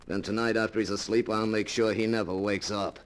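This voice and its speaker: An actor playing a cold-blooded, scheming fugitive husband, bossy and hard-boiled, in old-time radio drama style. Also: male voice, lowish voice, level tone, brisk, music in the background, lightly acted. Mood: cold, persuasive. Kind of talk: golden age radio drama acting.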